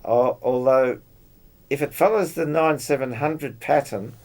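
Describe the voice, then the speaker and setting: A man chatting casually about technical matters as a club member, explaining details in a halting way. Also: medium pitch, darkish tone. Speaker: club member discussing radio gear.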